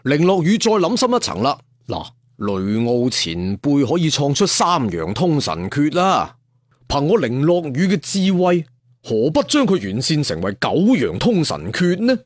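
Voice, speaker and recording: Animated Cantonese male storyteller, music behind. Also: fairly high pitch, measured pace, no room sound, studio quality. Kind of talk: dramatic audio-story narration with colloquial asides.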